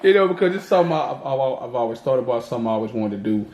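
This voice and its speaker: Male podcast host, relaxed and stumbling, in an echoey room with faint noise. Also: medium pitch, lo-fi sound. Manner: easygoing, halting with fillers and restarts. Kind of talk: casual chat.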